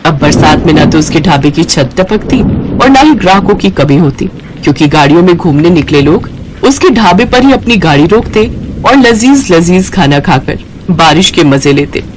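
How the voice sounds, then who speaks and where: A narrator reading a scripted moral story in an expressive storytelling style. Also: medium pitch, moderate pace, background noise.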